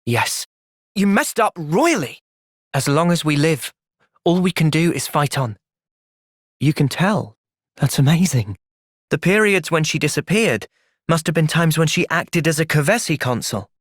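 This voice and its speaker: A man voicing an earnest, thoughtful young fighter in a video game, measured and sincere but capable of impassioned intensity. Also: a little high, lively intonation, no echo, studio quality. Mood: calm, earnest. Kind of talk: video game cutscene voice acting.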